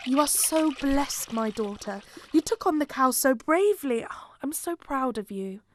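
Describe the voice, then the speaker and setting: A woman playing an affectionate but brisk Punjabi village mother, emphatic and theatrical, in an audio drama. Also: high-pitched, lively intonation, a little hiss or hum. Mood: proud.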